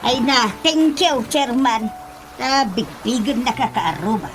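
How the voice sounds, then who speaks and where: A woman's voice: a little high, measured pace, lightly acted, low-quality recording. A woman with a Filipino accent playing a fussy, complaining villager in a comedic radio drama. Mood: greeting, plaintive. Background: music.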